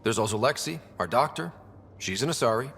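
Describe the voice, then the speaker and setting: A man's voice: mid-range voice, lively intonation, fairly quick, gravelly, fairly bright tone, breathy edge, long reverb tail, heavily acted. A man playing an earnest, capable young expedition leader in a science fiction video game, straightforward in manner. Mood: friendly, steady.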